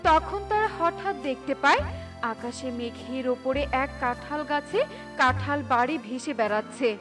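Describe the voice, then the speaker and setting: A woman's voice: sits high, moderate pace, over music. A woman narrator reading a scripted children's story.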